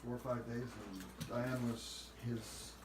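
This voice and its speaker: A man's voice: mid-range voice, fairly even intonation, slow pace, distant mic. A middle-aged man chatting casually about family memories, often correcting himself. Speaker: family member sharing memories. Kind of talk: casual chat, reminiscing. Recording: roomy, echoey, noisy family recording.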